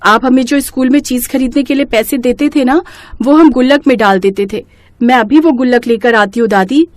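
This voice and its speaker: A woman's voice: high voice, brisk, no echo, close to an everyday voice. A woman voicing a caring, polite young girl in an earnest, reassuring storybook manner. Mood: reassuring, eager. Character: young twin granddaughters, eager, caring, polite little girls. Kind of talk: storybook character acting.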